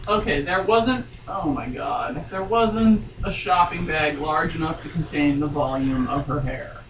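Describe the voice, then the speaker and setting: A woman's voice: deep voice, expressive, measured pace, dull audio. A woman reading a story aloud in a wry, theatrical way, breaking off for mocking asides. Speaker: podcast guest reading a novel aloud. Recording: roomy, distant mic, faint noise.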